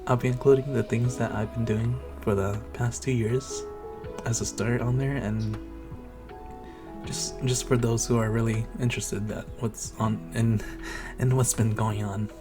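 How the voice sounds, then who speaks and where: A man's voice: medium pitch, fairly even intonation, measured pace, over music. A young man talking casually to his listeners as the creator of an audio channel. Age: young adult. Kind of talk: casual intro addressed to listeners.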